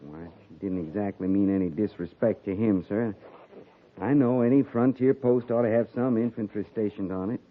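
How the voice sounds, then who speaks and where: A man's voice: fairly low pitch, moderate pace, lightly acted. An American man playing a loyal, grumbling, plain-spoken cavalry sergeant in a 1950s radio drama, folksy and deferential. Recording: noisy, tinny 1950s radio recording.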